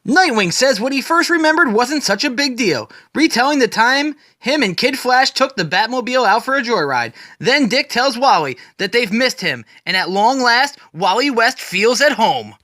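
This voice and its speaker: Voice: mid-range voice, sing-song, normal speed, hardly any pauses, sharp tone, no room sound, clean studio sound. A person giving a dramatic, scripted narration as a storyteller, retelling comic book scenes in the present tense.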